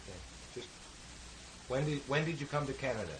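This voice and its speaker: A man's voice: mid-range voice, animated, fairly quick. A man conducting an oral history interview, patiently prompting and repeating his questions. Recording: hissy 1970s tape recording.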